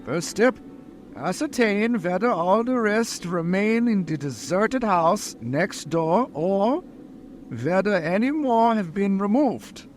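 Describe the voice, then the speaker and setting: High-voiced, portentous vampire-hunting professor. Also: male voice, lively intonation, soft background music. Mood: resolute, urgent. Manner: declamatory, portentous, measured phrasing. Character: grave, resolute male professor leading vampire hunters. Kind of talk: vintage radio drama acting.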